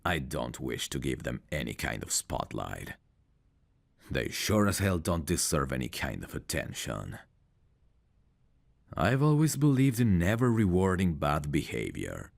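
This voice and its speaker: A man playing a big, confident, flirtatious champion fighter in an intimate ASMR audio roleplay, speaking in a deliberate, teasing manner. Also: very deep voice, wildly swooping pitch, gravelly, no echo, full character voice. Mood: guarded, candid. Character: big confident champion fighter, smooth, flirtatious, guarded about his past. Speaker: voice actor.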